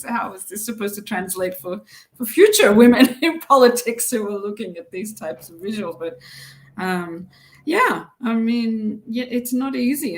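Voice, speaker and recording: Medium-pitched woman, thinking aloud. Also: rough recording. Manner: hesitant, thinking aloud in fragments. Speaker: interview guest, press club president. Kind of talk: casual conversational explanation.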